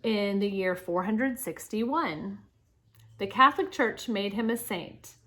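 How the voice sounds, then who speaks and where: A woman's voice: medium pitch, slow pace, sharp tone. A woman teacher reading a picture book aloud to children, explaining things and speaking to her young listeners directly with casual asides.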